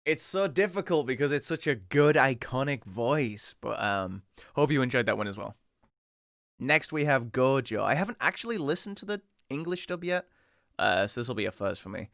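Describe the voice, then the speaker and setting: Bright, creaky-voiced young man chatting. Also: medium pitch, expressive, moderate pace, no room sound, studio quality. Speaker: content creator doing voice acting challenge. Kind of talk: casual chat to camera.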